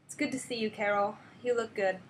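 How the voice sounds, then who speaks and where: A woman's voice: a little high, fairly even intonation, a little fast, close to an everyday voice. A woman playing a subdued, reluctant lone superheroine in a hesitant, understated storybook read-aloud. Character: subdued, reluctant lone heroine declining company. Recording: noisy webcam recording.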